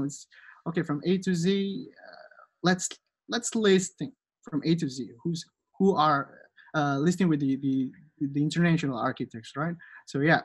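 Higher-voiced Indonesian man, halting host. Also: no echo. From Surabaya, Indonesia. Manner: hesitant, halting, with frequent repeated words. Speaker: host and moderator. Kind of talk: informal spoken introduction to an online lecture. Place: indoors at home, on a video call.

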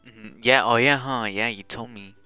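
A woman's voice: extremely low pitch, moderate pace, sharp tone, smooth, no room sound, faint music behind. A woman streamer chatting casually and playfully bossing her friend around during a game stream. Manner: playful, bossy, coaching. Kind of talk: casual chat while gaming.

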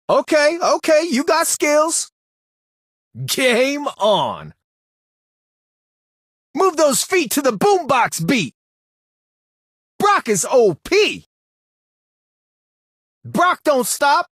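A man's voice: very high voice, a bit bright, husky, dry recording, clean studio sound, full character voice. An actor voicing a brash, boastful young male brawler in a video game, delivering hyped trash-talking one-liners.

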